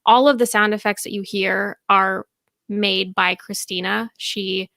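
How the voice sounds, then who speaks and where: A woman's voice: mid-range voice, steady tone, measured pace, creaky, bright, forceful tone, no echo. A woman chatting casually and enthusiastically as a guest producer in an interview. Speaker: audio drama producer, podcast guest.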